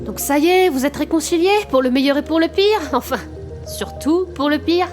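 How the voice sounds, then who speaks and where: A woman's voice: sits high, normal speed, music in the background, close to an everyday voice. A woman playing an exasperated, sarcastic and tough lone operative in a comic audio drama, blunt and mocking. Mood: sardonic.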